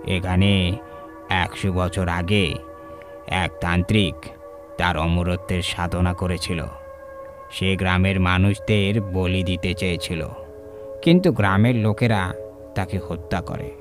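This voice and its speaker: A grave village priest put on by a male narrator warns in a deep voice. His phrases are slow, solemn and halting, in the manner of a spooky audio story. Music is clearly heard behind him. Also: steady tone. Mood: grave warning.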